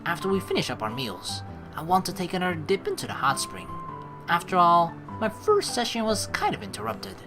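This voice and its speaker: A woman's voice: fairly low pitch, wildly swooping pitch, a little fast, music in the background. A woman let's play streamer reading game text aloud and adding chatty commentary.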